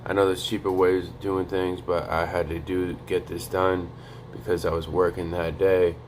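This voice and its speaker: A young man with a very deep, flat voice, keeping it hushed and monotone as he gives a casual, profane pep talk. He sounds streetwise and easygoing, and a faint hum sits behind him. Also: normal speed. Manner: low, quiet monotone to avoid waking a neighbor. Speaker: vlogger. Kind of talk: motivational vlog talk. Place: home in North Dakota.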